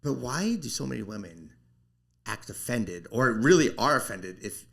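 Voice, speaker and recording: A man in his forties co-hosting a casual podcast chat, asking quick questions and telling joking stories. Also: medium pitch, expressive, gravelly, fairly bright tone. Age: 40s. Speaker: podcast co-host.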